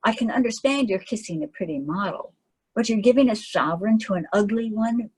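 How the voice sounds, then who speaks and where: A woman voicing a jovial, teasing young gentleman painter in a read-aloud story, in her own breathy voice. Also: medium pitch, expressive, a little fast, poor audio.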